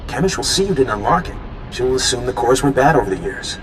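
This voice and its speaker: An actor playing an idealistic young resistance informant who talks in an earnest, friendly way. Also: male voice, medium pitch, very animated, quick pace, a little hiss or hum, close to an everyday voice.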